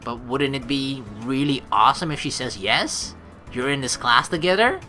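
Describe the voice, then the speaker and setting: Animated, bright-voiced man doing let's play commentary. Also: mid-range voice, normal speed, faint music behind. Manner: rambling, slangy, exasperated asides, profane. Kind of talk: casual gameplay commentary, reading story text aloud.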